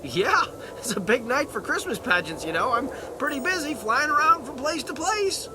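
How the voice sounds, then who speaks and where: A man's voice: very high-pitched, sing-song, normal speed, noisy background. An actor playing an easygoing, whimsical, joking man with a breezy, playful delivery. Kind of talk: acted audio drama dialogue.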